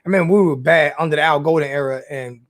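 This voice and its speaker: A man in his forties with a high voice and a quick pace. He chats casually about sports, circling back over his phrases for emphasis. The sound is dry, with no room on it. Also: dry recording. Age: 40s.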